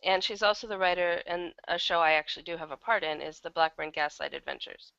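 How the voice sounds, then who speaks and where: A woman's voice: fairly low pitch, fairly even intonation, fast talker, creaky, lo-fi sound, a crowd in the background. A woman chatting casually as an interview guest, talking as an audio drama writer and producer.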